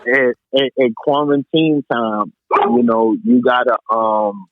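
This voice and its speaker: A man's voice: mid-range voice, steady tone, slow, no room sound. A man talking casually about music as a podcast guest, repeating phrases for emphasis, over a tinny connection. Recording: tinny, phone-like radio sound.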